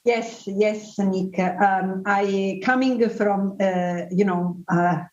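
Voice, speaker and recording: A woman's voice: mid-range voice, fairly even intonation, drawn-out words, a little mellow. A woman with an Italian accent, from Italy, speaking hesitantly with many fillers and restarts as an expert panelist. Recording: echoey, dull lo-fi remote audio.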